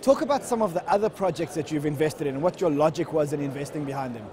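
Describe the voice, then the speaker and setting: A man hosting a TV show, presenting energetically and asking quick questions at a conference. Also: a little high, lively intonation, noisy background. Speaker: TV show host and interviewer. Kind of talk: upbeat presenting and interviewing. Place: outdoors at a conference.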